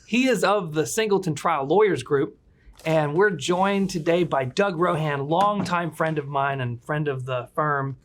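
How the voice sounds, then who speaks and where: A man's voice: fairly high pitch, a little slow, fairly bright tone, slight background noise. A man hosting a legal podcast in casual, joking talk, retelling a case with loose asides. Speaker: podcast host, attorney.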